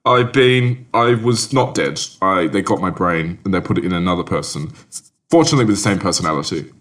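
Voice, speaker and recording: A man's voice: deep voice, expressive, brisk, fairly bright tone. A man with a British accent playing a bumbling, polite, evasive character in a comedy sketch, stammering and repeating himself. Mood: evasive, breezy.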